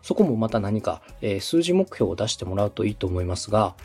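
A man from Osaka hosting a podcast consultation, explaining things politely and methodically with drawn-out hesitations. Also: fairly low pitch, sing-song, a little mellow, breathy edge, faint music behind. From Osaka, Japan. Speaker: podcast host, radio director coaching a client.

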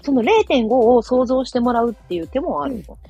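A woman's voice: on the high side, big pitch swings, a little fast, warm tone. A woman guest in a casual chat over a call, explaining her method step by step. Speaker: guest, picture book and story writer. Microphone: remote call connection. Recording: dull lo-fi call with background music. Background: background music.

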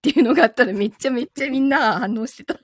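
A woman's voice: high-pitched, racing, breathy edge, no room sound. A woman chatting casually on a live stream in a playful, bantering way, close to a studio microphone in a recording booth. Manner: playful, frequently laughing banter. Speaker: voice performer hosting a live stream. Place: small home recording booth.

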